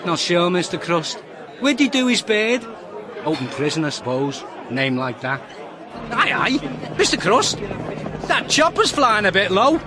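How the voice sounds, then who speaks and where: Small-time Scouse chancer, very high voice, matey, rambling, excitable, radio drama, noisy street crowd. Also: male voice, measured pace. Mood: amused. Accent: Liverpool. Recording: busy outdoor crowd and traffic behind. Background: street crowd, vehicles.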